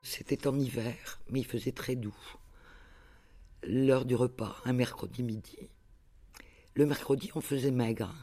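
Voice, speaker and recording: A man's voice: medium pitch, a little slow, pauses often, warm tone. A man recounting a childhood memory in a personal, spoken storytelling style.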